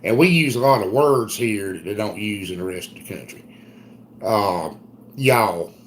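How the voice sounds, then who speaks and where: A man's voice: on the low side, animated, measured pace. A man with a thick Southern accent chats to his live viewers in a loose, folksy ramble. He picks up their comments and answers them as he goes. A faint hiss sits under his voice. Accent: Southern US, South Carolina. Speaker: homestead livestream host. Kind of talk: casual live-stream chat.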